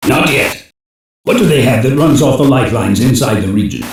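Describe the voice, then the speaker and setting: A man's voice: mid-range voice, animated, a little fast, a little hiss or hum, natural voice. An actor playing a stern, shrewd older male intelligence chief who gives authoritative, urgent orders in dubbed anime game dialogue. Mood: stern, commanding. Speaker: chief of a special police unit. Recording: very echoey, distant from the mic.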